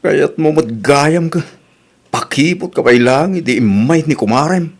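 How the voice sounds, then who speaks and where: A man's voice: on the high side, expressive, a little slow, gravelly, full character voice. A man playing a frank village husband in an Ilocano radio comedy drama, chiding and lecturing in exasperation, with a Filipino Ilocano accent.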